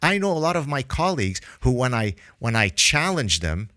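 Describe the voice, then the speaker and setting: A man's voice: medium pitch, very animated, moderate pace, pushed and bright, no echo, studio quality. A man whose first language is Arabic, a podcast guest explaining ideas emphatically with vivid analogies. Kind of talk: podcast discussion.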